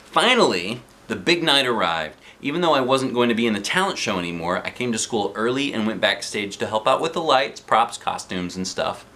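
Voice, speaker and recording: A man's voice: mid-range voice, animated, fairly quick, few pauses, pushed and bright. A man reading a children's book aloud as a dad would, expressively acting out the story.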